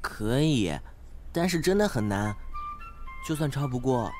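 A young man acting an earnest, polite, slightly naive studious teenage boy in an audio drama, gentle and encouraging. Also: medium pitch, animated, unhurried, natural voice. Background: background music.

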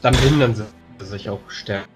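A man playing a tabletop game, planning a battle while thinking aloud with many pauses and fillers. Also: on the low side, over music. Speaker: tabletop player.

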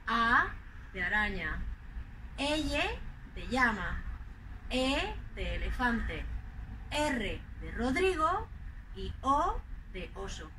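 An older woman from Madrid with a Madrid Spanish accent, acting out a slow, clearly spoken teaching dialogue outdoors on a clip-on mic. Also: a little high, big pitch swings, pushed and bright, a little hiss or hum, rough recording. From Spain. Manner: playful, deliberate spelling out of words. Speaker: language teacher in a scripted dialogue. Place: outdoors in front of a green wall.

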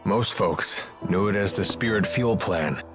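A man voicing a weary, guarded middle-aged exile in a video game cutscene, explaining things in a measured way. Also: fairly low pitch, close to an everyday voice. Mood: grave. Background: music.

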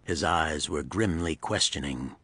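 A man narrating a pulp thriller as scripted storytelling, in a dramatic, measured manner. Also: a bass voice, sharp tone, husky, no room sound.

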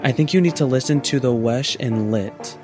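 A man's voice: medium pitch, normal speed, a little mellow, lightly acted. A man playing a stubborn, domineering, dismissive father in an amateur game roleplay. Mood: pompous. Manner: pompous, dismissive. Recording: music behind the voice.

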